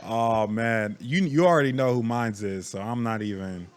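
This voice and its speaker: A man co-hosting a podcast in casual sports chat, halting and laid-back in the way he talks. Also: medium pitch. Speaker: podcast co-host.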